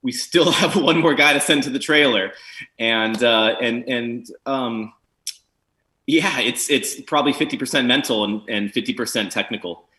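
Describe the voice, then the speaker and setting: A man in a roomy, echoey space talks about his work as a team spotter. He sounds relaxed and rambles through his answers. His voice is mid-pitched and he laughs easily. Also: moderate pace. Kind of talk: interview on a video call.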